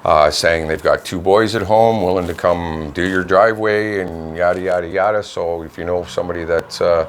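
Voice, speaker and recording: Middle-aged man, deep voice, Canadian accent, rambling casual chat, faint background noise. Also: steady tone, normal speed. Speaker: local online radio co-host. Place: home studio.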